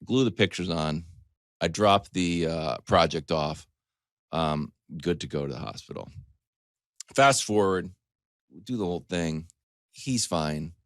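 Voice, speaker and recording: Man in his forties, low pitch, very dry sound, casual comedic storyteller, animated delivery, choppy conversational asides. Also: a little slow, long pauses, no room sound. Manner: animated, acts out quotes in dialogue. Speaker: sports talk show host.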